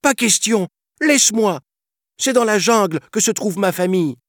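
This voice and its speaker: Man as an excitable, high-pitched jungle boy. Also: moderate pace, husky, no echo, studio quality. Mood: defiant. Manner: high, excitable, childlike delivery. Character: small wild jungle boy, eager and stubborn. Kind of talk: children's storybook reading.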